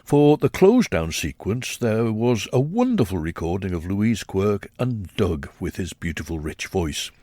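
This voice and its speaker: A man presenting a radio programme, narrating in a measured, reflective way. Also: on the low side, sing-song, mellow, soft background music.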